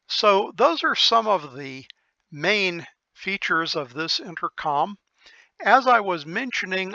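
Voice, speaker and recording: An older man giving an informal technical explanation, methodical and thinking aloud with pauses. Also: high-pitched, gravelly, sharp tone, no room sound, dull audio. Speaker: electronics repair hobbyist presenter.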